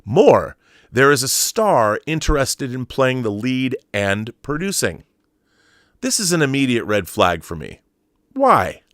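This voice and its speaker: A man's voice: mid-range voice, sing-song, a little slow, fairly bright tone, no room sound, clean studio sound. A man hosting an online show, reading an article aloud in an emphatic storytelling way and adding explanatory asides.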